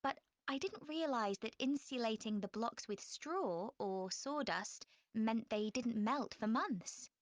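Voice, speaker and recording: A woman playing a frank, chatty young student in a clear, measured scripted dialogue. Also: a little high, animated, dry recording. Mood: informative, interested. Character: young female student planning a class presentation, frank and chatty. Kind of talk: scripted exam listening dialogue.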